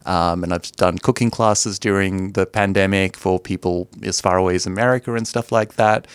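Male interview guest, lowish register, enthusiastic and conversational, very dry sound. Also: steady tone, moderate pace, clear, resonant tone, dry recording. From Sri Lanka.

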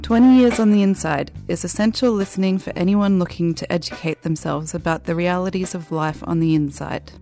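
A woman's voice: lowish voice, steady tone, brisk, hardly any pauses, warm tone, soft background music. A woman announcer reading a scripted radio promo.

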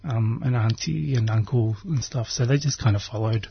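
A man's voice: mid-range voice, normal speed, vocal fry, lo-fi sound, slight background noise. A man, talking in an easygoing way and laughing often. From Australia. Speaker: guest. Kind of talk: casual conversation.

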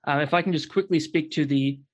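A man speaking earnestly as a guest in a panel discussion, pausing to search for words. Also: a little high, dull audio. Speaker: podcast guest.

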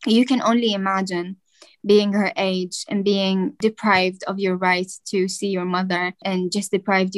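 A young woman from Palestine answering interview questions earnestly, searching for words with restarts. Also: mid-range voice. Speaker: guest.

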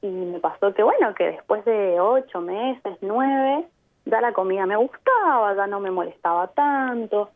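An Argentine woman speaks in a reflective, explanatory way, often pausing to check in with her listener. Her voice sits at an ordinary pitch for a woman. It comes through a narrow, tinny phone line. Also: animated. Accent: Argentine Spanish. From Mendoza, Argentina. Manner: thoughtful, explanatory, frequent tag questions. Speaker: guest, immigrant sharing experience. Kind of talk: reflective radio interview by phone.

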